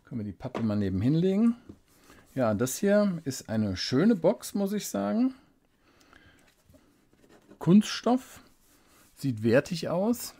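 A man giving a casual unboxing review at a desk, unhurried and thinking aloud with small fillers. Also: on the high side, sing-song, warm tone. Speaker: fountain pen reviewer. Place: indoors at a desk, hands-only camera. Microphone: studio desk microphone.

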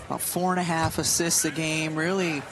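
A man's voice: a little high, fairly even intonation, brisk. A man from Connecticut with a Connecticut accent giving chatty, joking color commentary on a basketball game. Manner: chatty, joking, laughs easily. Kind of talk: live sports analysis. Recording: broadcast booth with loud music behind. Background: arena music and bouncing ball.

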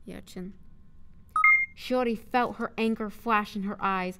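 A woman's voice: mid-range voice, steady tone, unhurried, heavy background noise. A woman voice actor reading scripted audio drama narration, with casual chat between takes.

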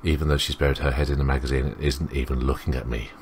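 Very deep-voiced man reading a game aloud. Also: fairly even intonation, fast talker, slight background noise. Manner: rambling, self-interrupting. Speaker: let's play host. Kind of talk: reading a visual novel aloud with off-the-cuff commentary.

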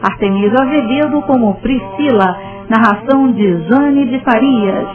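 A woman's voice: a little high, unhurried. A woman giving scripted narration for a radio soap opera. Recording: narrow phone-like radio sound. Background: music.

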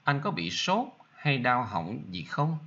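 A man with a Vietnamese accent leads an English drill at a very unhurried pace. His voice is bright and moves up and down with lots of expression. The recording sounds a little dull and lo-fi. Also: mid-range voice, big pitch swings. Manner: deliberate, repeats phrases for learners to copy. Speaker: English teacher. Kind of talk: slow bilingual drill, repeating each sentence.

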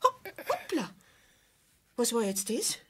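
A woman's voice: on the high side, wildly swooping pitch, slow, background noise, exaggerated voice. An actress playing a chatty, nosy, fussy older neighbour woman with a Bavarian accent in a radio play. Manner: gossipy, rambling.

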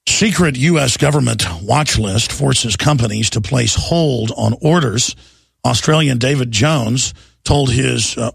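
Hoarse gravelly radio host man. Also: mid-range voice, no echo. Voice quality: gravelly, raspy. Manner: relaxed, rambling, repeats words. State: hoarse after pneumonia. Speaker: radio talk show host. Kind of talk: talk radio monologue with ad reads. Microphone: studio microphone.

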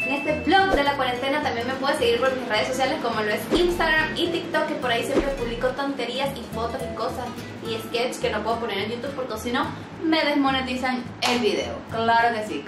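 A woman's voice: sits high, roomy sound. A Venezuelan woman in her twenties chatting animatedly and joking in a home vlog, filmed at arm's length indoors. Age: 20s. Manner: animated, joking, gestures a lot. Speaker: vlogger. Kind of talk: casual vlog chat. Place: small room at home, indoors.

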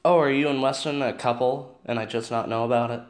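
Casting: skeptical teen boyfriend in audio drama, mid-pitched, dry sarcasm played by a man. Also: fairly even intonation, a little fast, lightly acted. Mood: curious. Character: sarcastic, reluctant teenage boyfriend.

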